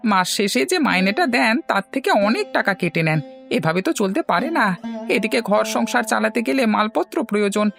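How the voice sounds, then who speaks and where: Woman voicing a fast, creaky, aggrieved village labourer. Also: medium pitch, animated, a bit bright, faint music behind. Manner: complaining, indignant. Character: aggrieved, hard-worked village labourer man. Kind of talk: cartoon dialogue.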